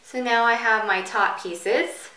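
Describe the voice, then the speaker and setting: Bright-voiced woman in an echoey room. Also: on the high side, steady tone, takes it slowly, far from the mic. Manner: chatty, informal. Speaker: hairstyling tutorial host. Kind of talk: casual step-by-step demonstration. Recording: bright roomy echo, off the mic.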